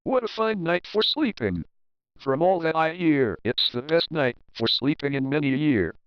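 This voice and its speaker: A man's voice: a little high, animated, moderate pace, smooth, no room sound, dull audio. A man narrating a children's picture book as a readalong, reading rhyming verse expressively and rhythmically. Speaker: storybook narrator.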